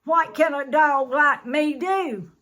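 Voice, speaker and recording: A TV-loving little dog speaks in a high, pleading voice, as a woman reading a picture book puts him on. He talks slowly and sounds fretful about missing his shows. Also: a bit bright. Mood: whiny. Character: young dog who loves watching TV, whiny.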